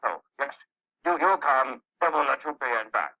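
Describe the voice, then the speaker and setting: A man's voice: mid-range voice, animated, normal speed, creaky, pushed and bright, voice pushed up, exaggerated voice. A man voicing a scheming, boastful one-eyed male orc shaman who speaks in broken grammar and talks about himself by name. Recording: dull lo-fi recording.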